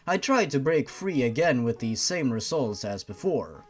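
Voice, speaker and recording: A man's voice: mid-range voice, big pitch swings, moderate pace, husky, soft background music. A man hosting a fan fiction reading, narrating expressively and adding sardonic, incredulous asides.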